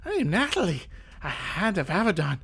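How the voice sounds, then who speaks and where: A man's voice: high voice, huge pitch swings, fairly quick, gravelly, a bit bright, slightly rough, pitched above the performer's own voice, full character voice. A man voicing a proud, impatient young sorceress in a video game, eager for battle and boastful. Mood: breathless pride.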